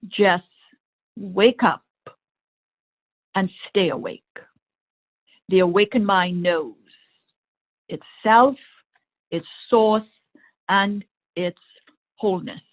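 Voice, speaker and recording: Female spiritual coach, very measured and slow, halting short phrases, thin telephone-quality sound. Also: mid-range voice. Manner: deliberate, halting short phrases with long pauses. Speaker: meditation coach and host. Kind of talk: spiritual talk. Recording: thin phone-line sound.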